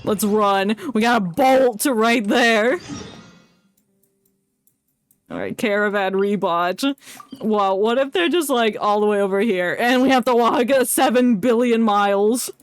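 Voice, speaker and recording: A woman's voice: mid-range voice, a little slow, smooth. A woman streaming a game with casual, joking, giggly commentary and plenty of swearing.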